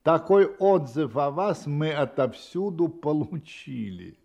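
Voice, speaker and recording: An actor playing a shrewd, self-assured gentleman detective in a Russian audio play, brisk and theatrically confident. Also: fairly high pitch, expressive, vocal fry, exaggerated voice. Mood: confident, deductive.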